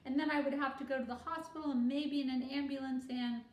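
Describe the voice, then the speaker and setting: A woman's voice: high-pitched, steady tone, quick pace, pitched above the performer's own voice, close to an everyday voice. A woman voicing a sad, earnest little schoolgirl who rambles on dramatically in breathless, run-on sentences. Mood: sad, rambling. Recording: roomy echo with faint noise.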